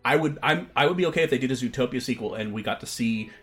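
A man hosting a podcast, chatting with enthusiasm and laughing often. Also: medium pitch. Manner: enthusiastic, animated, quick to laugh. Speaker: podcast host. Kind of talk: casual chat.

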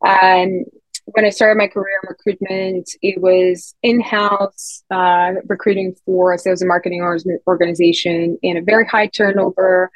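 Low-voiced chatty woman from Bulgaria. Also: no echo, poor audio. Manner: chatty, many fillers and self-corrections. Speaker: guest, head of people experience. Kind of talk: conversational podcast interview.

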